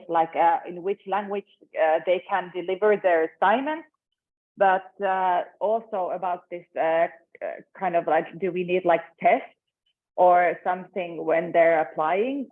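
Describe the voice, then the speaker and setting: A woman moderating an online information session, speaking hesitantly with many fillers over a video call. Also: medium pitch. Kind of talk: hosting an online info session. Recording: tinny, phone-like online call audio.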